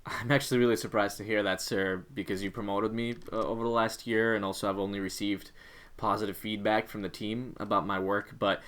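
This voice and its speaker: Man playing a polite low-voiced employee. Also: little pitch movement, brisk, fairly bright tone, close to an everyday voice. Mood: firm, composed. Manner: courteous, diplomatic, composed. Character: polite, firm male employee resigning from his job. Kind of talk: workplace role-play.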